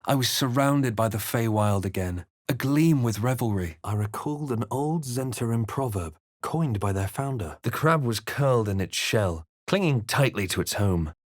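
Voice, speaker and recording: A man plays a fantasy video game hero who is watchful and brooding. He speaks in measured, dramatic tones at an ordinary male pitch. The sound is very dry and intimate, with no room around it. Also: lively intonation, no echo, close to an everyday voice. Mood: solemn, watchful. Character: adult male fantasy adventurer, composed, observant and brooding. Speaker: video game player-character voice. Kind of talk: dramatic first-person narration and character barks.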